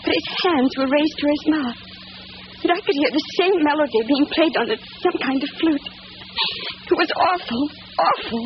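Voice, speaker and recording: A frightened young lady in a vintage radio drama, high-voiced, earnest and melodramatic. The recording is muffled and noisy. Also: lively intonation, moderate pace. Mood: haunted. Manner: breathless, fearful, polite, melodramatic. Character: timid, anxious young English gentlewoman, devoted daughter. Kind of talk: 1940s radio drama acting.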